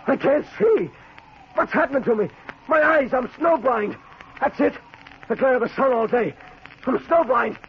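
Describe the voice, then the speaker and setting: An actor playing a selfish, bullying stranded trekker in a gruff, blustering 1940s radio drama style. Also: male voice, very high-pitched, normal speed, pauses often. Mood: panicked. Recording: muffled, noisy 1940s radio recording.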